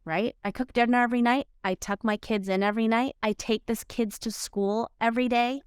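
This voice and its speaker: A woman's voice: fairly high pitch, clean tone, dry recording, studio quality. A woman originally from the Philippines hosting her own podcast, speaking in an earnest, encouraging way straight to her listeners. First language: Filipino language. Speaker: podcast host. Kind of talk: motivational solo podcast talk. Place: home office.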